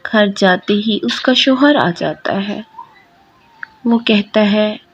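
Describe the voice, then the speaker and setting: A woman narrating a scripted children's story in a simple, deliberate way. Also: mid-range voice, husky, dry recording. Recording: muffled lo-fi audio, loud music behind.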